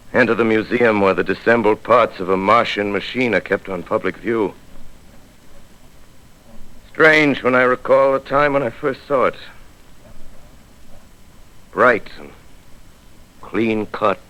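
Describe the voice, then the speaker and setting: An actor playing a solemn, weary scholar who survived an invasion, narrating in a grave, theatrical 1930s radio drama style. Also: male voice, fairly low pitch, fairly bright tone, rough recording. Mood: solemn, reflective. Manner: grave, measured, theatrical, reflective.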